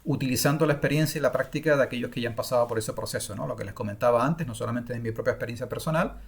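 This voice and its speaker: A middle-aged man from Spain gives an unscripted online masterclass on learning languages, often pausing with fillers and restarting his sentences. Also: mid-range voice, gravelly. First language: Spanish. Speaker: language-learning course creator.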